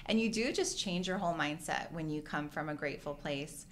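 A woman's voice: low-pitched, a little fast, a little hiss or hum. A middle-aged woman from Hawaii, a real estate agent chatting earnestly as a podcast guest, filling her talk with "like" and "you know". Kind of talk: casual interview chat.

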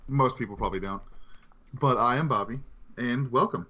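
A man with a bright, sharp-edged voice co-hosts a casual podcast. He speaks informally, in a joking and self-deprecating way. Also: medium pitch, moderate pace, lo-fi sound. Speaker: podcast co-host. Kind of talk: casual chat.

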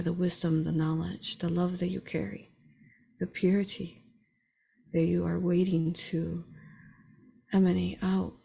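A woman leading a slow guided meditation in a soft, breathy voice that often drops to a whisper, with long pauses. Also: fairly low pitch, a little hiss or hum, rough recording. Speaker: guided meditation leader and channeler.

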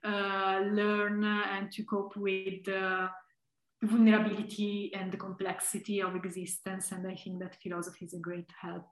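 A young woman from Italy, a philosophy scholar being interviewed, speaking thoughtfully with many filler pauses. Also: mid-range voice, little pitch movement, reverberant, low-quality recording. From Italy (Tuscany). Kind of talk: reflective conversational interview.

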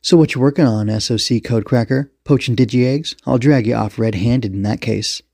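A man's voice: mid-range voice, brisk, vocal fry, mellow, no room sound, clean studio sound. A man voicing a brash, cocky, foul-mouthed young policewoman commander in a story, barking slangy taunts. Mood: accusing.

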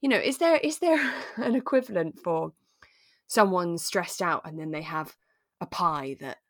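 A woman's voice: lowish voice, animated, measured pace, no room sound, clean studio sound. A woman co-hosting a podcast in casual, playful chat, recapping a drama. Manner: chatty, playful. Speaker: podcast co-host.

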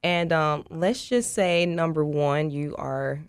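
A woman chatting as an interview guest in an animated, colloquial way, acting out what people say. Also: low-pitched, slow, clear, resonant tone, dry recording, studio quality. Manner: animated, colloquial, acts out quotes. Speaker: guest, actress. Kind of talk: casual interview chat.

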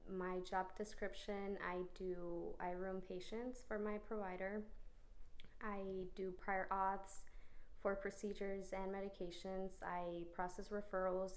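Early-20s woman medical assistant from Colombia, straightforward interview guest. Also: mid-range voice. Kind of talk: casual interview.

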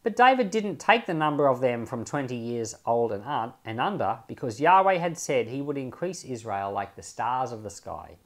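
A man, a pastor, reading scripture aloud and commenting on it informally, with measured, deliberate phrasing. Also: mid-range voice, expressive. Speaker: pastor and Bible podcast host.

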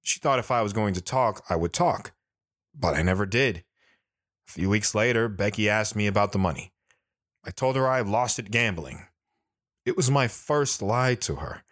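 A man's voice: lowish voice, animated, normal speed, fairly bright tone, no echo, studio quality. A man playing an earnest, guilt-ridden soldier, narrating his hard life in a sober, plain-spoken way for an audio drama. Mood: regretful. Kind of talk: dramatic first-person narration.

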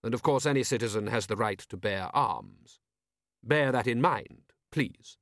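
A man's voice: mid-range voice, lively intonation, unhurried, fairly bright tone, dry recording, clean studio sound. A man voicing a shrewd, coolly commanding city ruler in an audiobook, precise, formal and sardonic. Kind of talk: audiobook character voice.

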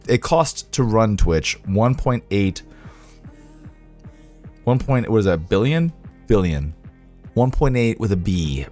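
Hoarse raspy guy on a livestream, lowish voice, rambly and sarcastic, faint music under him. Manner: rambling, sarcastic, swears, reads aloud to chat. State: hoarse, sore voice. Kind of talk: casual livestream chat with viewers.

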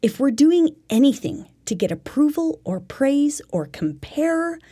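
A woman's voice: high-pitched, sing-song, a little slow, warm tone. A woman giving an instructional talk in an earnest, conversational, explanatory manner with everyday examples. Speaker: lesson teacher.

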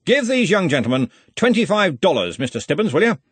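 A man acts out a commanding old-guard wizard in a fantasy storybook. He is loud, brisk and jovially threatening, pitched up with big swoops and a husky edge. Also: sing-song, no echo, exaggerated voice. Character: commanding, jovial but menacing senior male wizard, university head.

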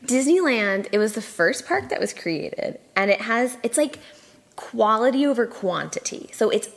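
A woman's voice: on the high side, lively intonation, moderate pace, vocal fry. A woman speaking as a podcast guest in a casual, conversational style. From born in California, grew up in several countries. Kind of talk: casual chat.

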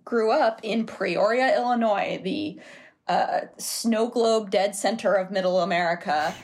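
A woman's voice: mid-range voice, a little slow, darkish tone. A woman columnist appearing as a podcast guest, giving considered, articulate, analytical commentary in a conversational way.

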